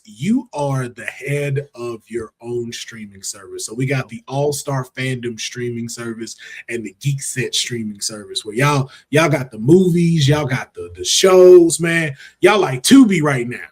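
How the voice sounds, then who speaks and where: An American man hosting a casual podcast, talking in an animated, slangy and profane way. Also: medium pitch. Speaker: podcast host. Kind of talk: casual podcast chat about movies and games.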